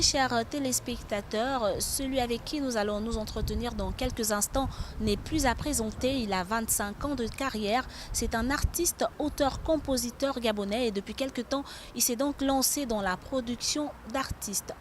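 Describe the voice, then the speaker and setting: A woman's voice: a little high, moderate pace, hardly any pauses, noisy background. A woman presenting a television culture programme in a formal announcer delivery. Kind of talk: broadcast presenting.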